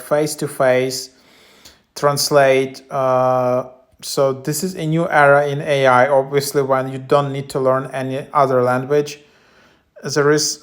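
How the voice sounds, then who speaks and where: A man giving a casual, loosely improvised app walkthrough as a tech vlogger, with halting phrasing. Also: mid-range voice, fairly bright tone. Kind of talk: casual app walkthrough, partly reading descriptions aloud.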